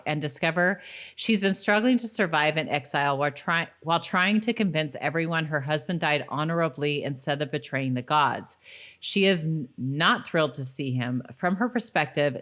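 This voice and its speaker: Low-pitched woman, casual podcast co-host. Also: a bit bright. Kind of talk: casual TV rewatch chat with read-out episode summaries.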